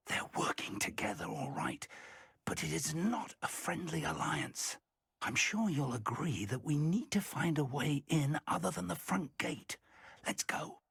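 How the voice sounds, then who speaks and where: Serious wizard professor for a fantasy game, very raspy and creaky, higher-set voice, formal, measured. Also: male voice, a bit bright, no echo, studio quality, exaggerated voice. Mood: hushed, wary. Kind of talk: video game acting.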